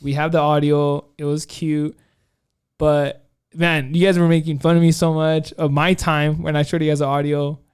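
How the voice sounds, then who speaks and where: A man with a fairly high, smooth and clear voice talks loosely in casual podcast chat. He rambles through stories, stacking up "like" and restarting his sentences, with a joking edge. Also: little pitch movement, moderate pace. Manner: rambling, many "like" fillers, joking. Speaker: podcast co-host.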